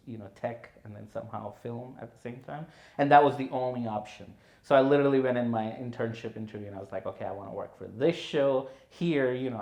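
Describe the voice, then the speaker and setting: Man telling his story, echoey room. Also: fairly low pitch. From India. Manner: relaxed storytelling with many "you know" and "right". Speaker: guest filmmaker. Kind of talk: conversational podcast interview.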